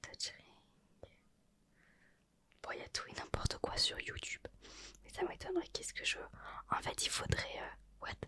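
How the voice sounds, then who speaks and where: A woman's voice: a little slow, long pauses. A young woman whispering through an ASMR roleplay, reading a retro gaming magazine aloud and adding chatty asides and self-corrections. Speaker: ASMR creator.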